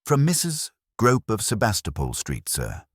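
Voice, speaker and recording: A man's voice: on the low side, wildly swooping pitch, a little slow, slightly rough, no echo, studio quality, pitched above the performer's own voice, heavily acted. A man voicing a dutiful police sergeant in a mystery story, reporting deferentially and matter-of-factly. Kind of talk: storybook mystery character dialogue.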